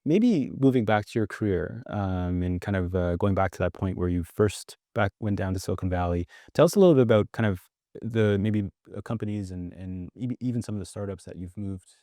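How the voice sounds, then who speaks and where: A man's voice: deep voice, fairly quick, warm tone, dry recording, studio quality. A man hosting an interview podcast, asking conversational questions in a tentative, roundabout way.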